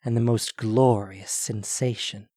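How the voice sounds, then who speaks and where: A man narrating an audio drama in a deliberate, expressive, dramatic storytelling style. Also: lowish voice, no echo, studio quality.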